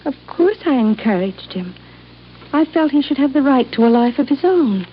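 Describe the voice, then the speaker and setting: A woman's voice: sits high, lively intonation, moderate pace. A woman playing a poised, defensive daughter in a 1950s radio drama, speaking formally and politely. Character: poised, defensive adult daughter of wealthy family. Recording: muffled old radio recording, light hiss.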